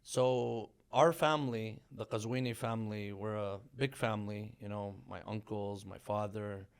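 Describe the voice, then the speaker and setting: A man in his thirties, born in Iran, a religious scholar speaking as a guest in a relaxed interview with a measured, thoughtful manner. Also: fairly low pitch, clear, resonant tone. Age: 30s. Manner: measured, thoughtful storytelling with fillers. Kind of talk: conversational interview.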